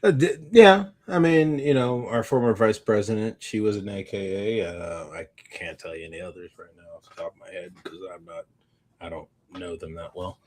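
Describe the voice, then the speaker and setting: A man chatting casually as a podcast co-host, halting and thinking aloud as he rambles along. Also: deep voice. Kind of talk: casual unscripted chat.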